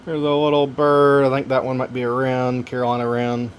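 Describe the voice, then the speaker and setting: A man with a relaxing voice casually narrating wildlife camera footage, gentle and folksy with joking asides. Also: medium pitch, monotone, a little fast, slight background noise. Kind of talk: casual narration over video.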